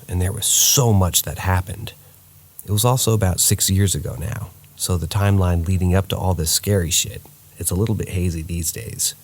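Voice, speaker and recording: A man narrating true paranormal stories in a steady, somber reading voice. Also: deep voice.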